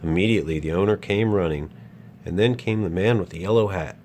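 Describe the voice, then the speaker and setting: A man's voice: deep voice, brisk, a little mellow, slight background noise. A man reading a children's picture book aloud as a storyteller and host.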